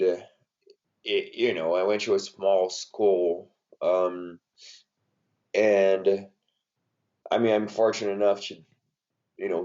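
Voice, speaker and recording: A man's voice: low-pitched, mellow. A man from Brazil with a Brazilian accent, speaking non-native English in a casual interview and rambling with many fillers as a strength coach. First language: Portuguese. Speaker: NBA strength and conditioning coach. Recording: dull lo-fi audio.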